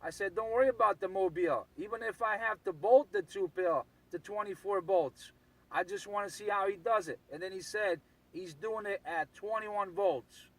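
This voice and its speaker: High-pitched insistent New man ranting. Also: normal speed, fairly bright tone, low-quality recording. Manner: insistent, repetitive, swearing. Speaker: CB radio operator. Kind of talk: casual CB radio rant.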